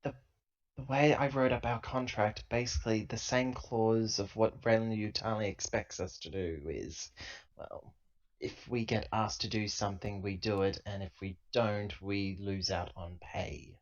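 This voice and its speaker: A man's voice: on the low side, fairly even intonation, unhurried, natural voice. A man playing a female sci-fi crew member in a tabletop game, explaining terms hesitantly. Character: Drake, female crew member minding the contract. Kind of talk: improvised tabletop roleplay dialogue. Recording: dull lo-fi laptop recording.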